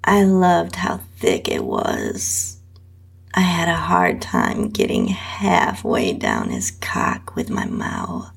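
Woman, husky and breathy, whispery dips, widely swinging intonation, scripted first-person story narration. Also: sits low, huge pitch swings. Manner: slow, drawn-out reading with whispery dips.

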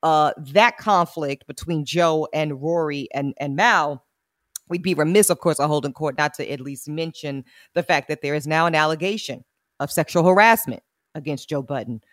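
A woman attorney hosting a podcast, chatting frankly and with slang, laughing often, with a big booming voice. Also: normal speed, dry recording, studio quality. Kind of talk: casual podcast chat.

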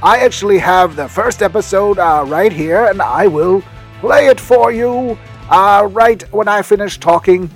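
A man's voice: high-pitched, unhurried, breathy edge, soft background music, exaggerated voice. A man playing an eccentric, rambling podcast host who interrupts himself while chattily making recommendations. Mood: eager. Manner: rambling, self-interrupting, swinging from muttering to hearty. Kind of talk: chatty promotional monologue.

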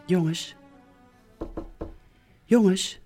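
A woman's voice: fairly low pitch, extremely slow, warm tone, soft background music. A woman playing a fond but exasperated middle-aged mother in a Dutch radio drama. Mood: coaxing, cheery.

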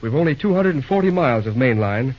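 An actor playing an earnest, deferential young railroad manager in an old-time radio drama, polite and a little hesitant. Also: male voice, mid-range voice, animated, fast talker, lightly acted. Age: young adult. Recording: dull, lo-fi old radio recording.

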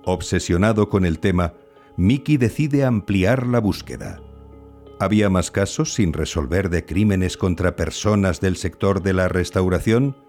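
A man's voice: sits low, expressive, unhurried, darkish tone, soft background music. A man narrating a scripted true-crime podcast as its host.

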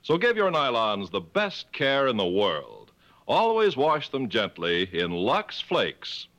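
A man's voice: medium pitch, wildly swooping pitch, slow pace, a bit bright, lo-fi sound. A man announcing in a polished, emphatic 1950s radio advertising style.

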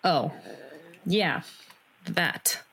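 A woman's voice: fairly low pitch, drawn-out words, vocal fry, a bit bright, husky, full character voice, poor audio. A woman playing a brash, determined young rebel in an audio drama, blunt and impassioned in her delivery. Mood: eager.

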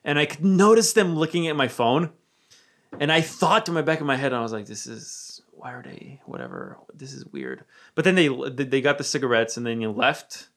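A young man from Romania speaking with an American accent, his voice sitting at an ordinary middle pitch. He tells a story in loose, casual chat, swearing freely and sinking to a low murmur whenever he quotes his own thoughts. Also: moderate pace. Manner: animated, swears freely, drops to a low murmur when quoting himself.

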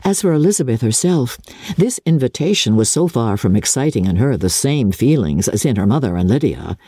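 Expressive, low-toned woman narrating, very animated. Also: slightly rough, dry recording. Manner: theatrical, measured, expressive. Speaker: audiobook narrator. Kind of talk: dramatic scripted literary narration.

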